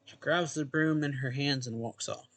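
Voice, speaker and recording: A man's voice: mid-range voice, normal speed, fairly bright tone, no room sound. A man reading a visual novel's narration and dialogue aloud expressively, as a let's play host. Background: game music.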